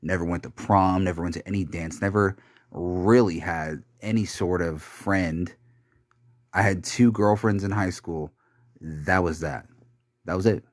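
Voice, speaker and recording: A young man with a low, deep voice talks alone into the mic in a bitter, rambling confessional. He swears often and stumbles through restarts and fillers. The recording is dry and close, with no room sound. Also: no room sound. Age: young adult. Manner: halting, with many restarts and fillers. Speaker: podcaster. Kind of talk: confessional monologue.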